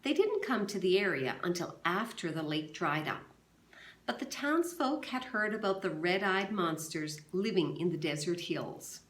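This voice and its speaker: A woman's voice: lowish voice, lively intonation, moderate pace. Teacher woman reading aloud, echoey room. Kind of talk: reading a novel aloud to a class.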